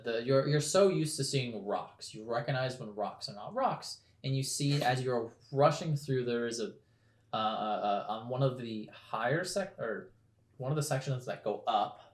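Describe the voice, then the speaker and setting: A young man narrating as game master of a tabletop game, in a loose, halting way full of fillers. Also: medium pitch. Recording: echoey room.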